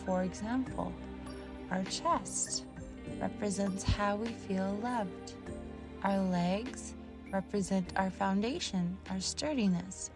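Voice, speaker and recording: Woman, very slow pace, soft soothing delivery, pausing often, guided meditation, clear music bed. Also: mid-range voice. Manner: soft, soothing, with long pauses between short phrases.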